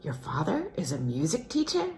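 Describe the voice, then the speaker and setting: A woman's voice: sits low, wildly swooping pitch, normal speed, slight background noise. A woman voicing a courteous, assured male concert singer and teacher in a novel reading, with a genteel, instructing manner. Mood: indulgent, curious. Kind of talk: storybook character reading from a literary novel.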